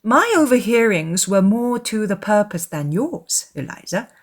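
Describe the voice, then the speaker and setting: Woman playing a lively, knowing young gentlewoman. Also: mid-range voice, a little slow. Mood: teasing. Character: teasing, self-assured young gentlewoman neighbour. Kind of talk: dramatized audiobook of a classic novel.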